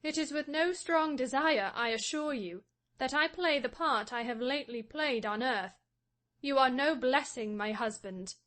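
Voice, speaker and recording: A man reading an audiobook voices a proud, defiant young wife, speaking softly and scornfully. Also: very high voice, unhurried, pitched above the performer's own voice, heavily acted. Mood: bitter. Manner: soft, hushed and scornful. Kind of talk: audiobook reading of a classic novel.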